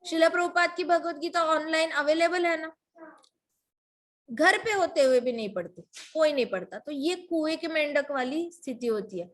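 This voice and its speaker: A woman's voice: sits high, expressive, moderate pace. A woman giving a devotional talk. She mixes English words into her Hindi and keeps asking her listeners rhetorical questions. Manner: emphatic, full of rhetorical questions. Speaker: devotional storyteller.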